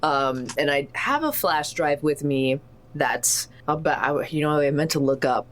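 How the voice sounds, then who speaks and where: Female tabletop player, low-pitched voice, casual and animated, thinks out loud while improvising her character's moves. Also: measured pace. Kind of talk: casual improvised roleplay chat.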